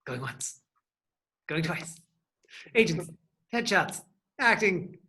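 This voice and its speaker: A man's voice: sits high, expressive, slow pace, sharp tone. A man leading a casual online class as an acting teacher, thinking aloud in a rambling, hedging way as he answers questions.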